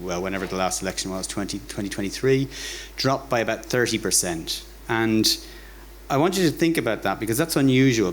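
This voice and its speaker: A man with an Irish accent and a fairly low voice speaks to a live audience in an informal lecture style. His delivery is conversational and wry, with words often repeated. There is faint noise from the venue behind him. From Ireland. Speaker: academic giving a public talk. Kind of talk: informal public lecture with dry humour. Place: bar hosting a talk night.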